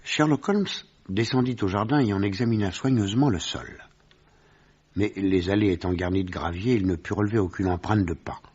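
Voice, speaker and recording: Casting: doctor-narrator, deep and husky, composed and sensible, detective radio play with dull sound. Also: male voice, a little fast, a little mellow. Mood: composed, storytelling. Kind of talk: scripted radio drama narration.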